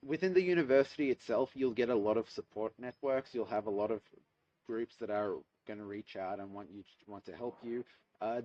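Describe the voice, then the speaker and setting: A young man with a fairly low voice talks through his answers slowly and carefully, pausing and restarting as he thinks. He sits some way back from the mic, and the sound is dull and lo-fi. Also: a little mellow, no room sound. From Australia. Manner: thoughtful, hesitant, with fillers and restarts. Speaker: interview guest, archaeology student. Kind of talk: casual interview answers. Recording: distant mic, muffled lo-fi sound.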